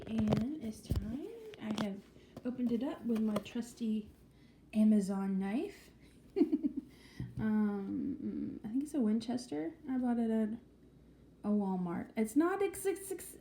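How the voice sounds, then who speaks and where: Woman rambling on her craft vlog, super mellow dark voice, lots of ums, echoey room w a little hiss. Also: on the high side. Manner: chatty, rambling, frequent ums and restarts; drops to a whisper at times. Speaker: vlogger. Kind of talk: casual vlog chat. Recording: echoey room with faint noise.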